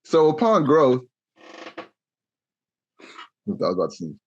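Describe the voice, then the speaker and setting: A man in his thirties tells a story in easy podcast chat. His voice sits at a middle pitch. He acts out the people he quotes with lively jumps in tone. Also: slow pace, muffled recording. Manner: animated storyteller, acts out quotes with rising exclamations. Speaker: podcast co-host.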